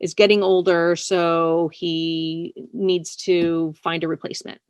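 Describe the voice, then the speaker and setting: A woman's voice: lowish voice, level tone, takes it slowly, darkish tone, clear, resonant tone, no echo. A woman writer speaking casually as an interview guest, chatty, often laughing as she talks. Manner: chatty, laughs while talking.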